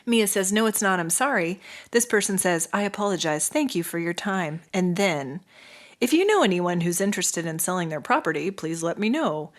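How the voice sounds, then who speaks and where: A woman's voice: on the low side, animated, normal speed. Giggly woman co-hosting a chatty podcast. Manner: giggly, reads out messages with a laugh. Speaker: podcast co-host. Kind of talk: casual sibling banter.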